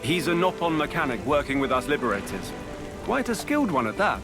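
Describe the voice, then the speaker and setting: A man's voice: fairly high pitch, big pitch swings, brisk, over music. A man playing a thoughtful, level-headed resistance member in a video game cutscene. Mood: helpful.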